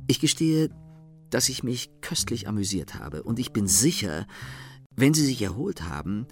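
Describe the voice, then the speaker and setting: An actor playing a playful, smug gentleman thief who teases in an amused storytelling manner in a radio play. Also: mid-range voice, very animated, moderate pace, faint music behind. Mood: amused, mocking. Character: playful, smug, mocking gentleman thief reading his letter.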